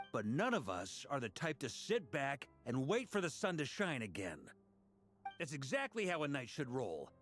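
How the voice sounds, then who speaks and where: A man's voice: a little high, fairly bright tone. An actor playing a confident, easygoing male knight commander in a video game, giving informal, rousing encouragement. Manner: informal, rousing pep-talk delivery.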